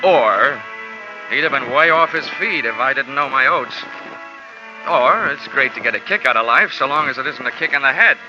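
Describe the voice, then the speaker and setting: Man, hard-boiled radio narrator, mid pitch, dull old broadcast sound, music bed. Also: animated, normal speed. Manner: wisecracking, dry hard-boiled delivery. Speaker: insurance investigator lead narrating. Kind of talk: 1950s radio drama narration.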